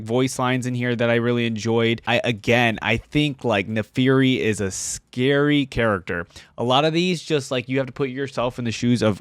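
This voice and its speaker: A man's voice: medium pitch, no echo, studio quality. A man giving casual reaction commentary as a video host, thinking aloud informally.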